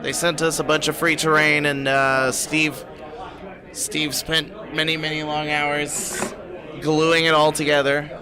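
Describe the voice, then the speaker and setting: Slow halting man, higher voice, busy crowd. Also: level tone. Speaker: stream host asking questions. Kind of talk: casual unscripted chat. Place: gaming tournament hall. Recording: busy crowd chatter in event hall.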